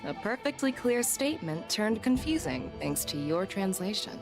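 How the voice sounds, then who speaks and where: A woman's voice: mid-range voice, sing-song, moderate pace. An actress playing a bold female general in a video game, commanding, blunt and nonchalant. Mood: blunt, assured. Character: bold, brisk, self-assured female general and warrior. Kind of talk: scripted game voice acting. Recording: game music behind.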